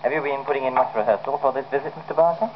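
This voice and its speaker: A man's voice: mid-range voice, rapid-fire, crowd noise. A man interviewing on 1950s radio in a polite, formal manner. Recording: muffled, hissy 1951 acetate radio recording.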